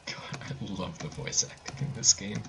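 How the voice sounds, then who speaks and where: A man with a low voice commentates live on his own gameplay. He talks casually and offhandedly with a dry, joking edge, while a keyboard clicks. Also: normal speed, creaky, background noise. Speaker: speedrunner commentator.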